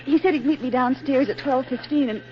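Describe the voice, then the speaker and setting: A woman's voice: high voice, fairly quick, natural voice. An actress playing a sweet, eager young working woman in love in a 1940s radio drama, earnest and girlish. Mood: shy, earnest. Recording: dull 1940s radio broadcast recording.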